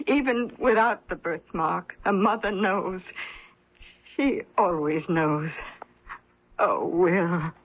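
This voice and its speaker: An actress playing a lonely, doting older mother in a radio drama, emotional and repeating herself in a husky, breathy voice. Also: lowish voice, takes it slowly, heavily acted. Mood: overjoyed, tearful. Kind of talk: 1970s-80s radio mystery drama acting. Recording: dull lo-fi old radio recording.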